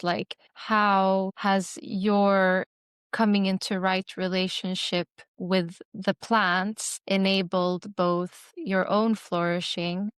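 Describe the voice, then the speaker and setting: Woman interviewer, Arabic her first language, steady flat delivery, clear voice, measured questions. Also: on the low side, no echo, clean studio sound. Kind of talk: conversational interview.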